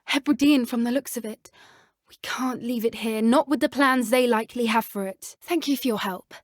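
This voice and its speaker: A woman with a British accent voicing an earnest, gentle, soft-spoken teenage schoolgirl witch who loves magical creatures, for a video game. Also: a little high, moderate pace, no echo, clean studio sound, lightly acted. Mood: urgent.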